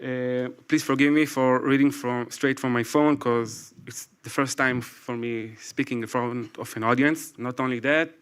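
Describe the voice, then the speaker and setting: A man in his forties with an Israeli accent, a native Hebrew speaker, reading a prepared talk from his phone to a live audience. He speaks carefully, with pauses and apologies. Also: medium pitch, fairly even intonation, a bit bright, smooth. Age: 40s. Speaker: speaker at a public event. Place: event stage before a live audience.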